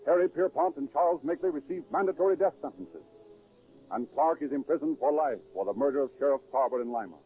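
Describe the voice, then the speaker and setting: A man's voice: on the high side, fast talker, narrow, tinny audio. Formal vintage radio crime narrator, American man. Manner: formal, dramatic. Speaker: narrator of a police crime drama. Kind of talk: 1930s radio scripted narration. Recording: thin 1930s radio recording with music.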